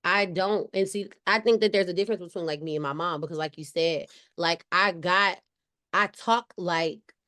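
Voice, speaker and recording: Chatty Ohio-raised woman, low voice. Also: moderate pace, sharp tone. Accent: Southern US. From Cleveland, Ohio. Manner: chatty, many "like" fillers and restarts. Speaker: podcast guest. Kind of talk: casual conversation.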